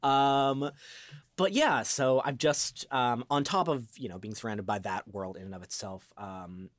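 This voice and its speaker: A man's voice: mid-range voice, a little slow, no echo, studio quality. A young man speaking as a guest voice actor in a casual interview, with a hesitant, rambling delivery.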